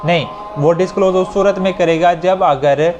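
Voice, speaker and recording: A man with a Pakistani accent and a slightly high voice teaches in lecture style. He explains step by step, restating each point as he goes. There is some noise behind him in the recording. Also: steady tone, moderate pace, highs cut off. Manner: didactic, repeats and rephrases points with examples. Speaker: law lecturer. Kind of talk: explanatory lecture, reading clauses and explaining them. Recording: clearly noisy recording with ambient sound.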